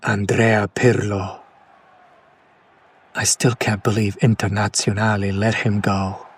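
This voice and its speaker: A man playing a condescending, smitten kidnapper in an ASMR roleplay, speaking in a hushed, breathy, lingering way. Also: on the low side, level tone, takes it slowly. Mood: hushed, admiring. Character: condescending yandere male kidnapper, smitten with his captive. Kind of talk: whispered ASMR roleplay, slow soft recitation.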